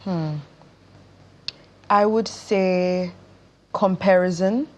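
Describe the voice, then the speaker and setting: A woman with a Nigerian accent speaking as a television interview guest in an earnest, deliberate way. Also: lowish voice, steady tone. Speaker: interview guest, stage performer.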